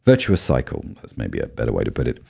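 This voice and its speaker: A man co-hosting a podcast in casual chat, his deep baritone made rougher by a cold, thinking aloud with many ums and restarts. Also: huge pitch swings, fast, warm tone. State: voice low and rough from a cold spell. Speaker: podcast co-host.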